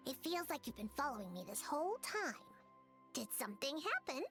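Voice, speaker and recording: A woman's voice: high-pitched, very animated, a little fast, fairly bright tone, faint music behind. A woman voicing a small, earnest, cheerful female fairy-like helper creature in a video game. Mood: friendly, curious.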